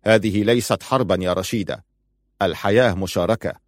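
A man's voice: fairly low pitch, fairly quick, no echo, clean studio sound, close to an everyday voice. A man playing a stern, proud and suspicious husband in audiobook dialogue, firm, curt and challenging. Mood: decisive.

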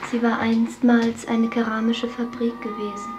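An actress playing a ceremonious guide in a science fiction radio play, speaking in a formal, measured tour-guide manner. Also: female voice, a little high, flat delivery, natural voice, low-quality recording. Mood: solemn, formal. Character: ceremonious female guide of a sci-fi pleasure house. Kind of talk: scripted radio drama acting. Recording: music behind the voice.

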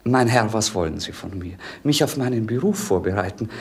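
Melancholy young prince, theatrical. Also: male voice, fairly low pitch, expressive, brisk, creaky, a little hiss or hum. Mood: ironic, restless. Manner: theatrical, ironic, declamatory. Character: melancholy, bored young prince, witty idle dreamer. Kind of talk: 1950s German radio drama acting. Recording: 1950s radio play recording.

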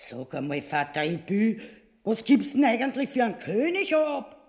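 An actress playing a tyrant's scornful, nagging wife with an Austrian accent, mocking and scolding in a radio play. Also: female voice, a little high, very animated, normal speed, darkish tone. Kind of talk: theatrical radio play acting. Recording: long hall reverb.

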